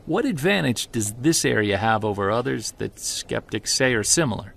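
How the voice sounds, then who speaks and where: A man's voice: medium pitch, expressive, moderate pace, creaky, a bit bright. A man playing an earnest investigator in an audio drama interview, speaking thoughtfully with some hesitation. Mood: earnest, curious.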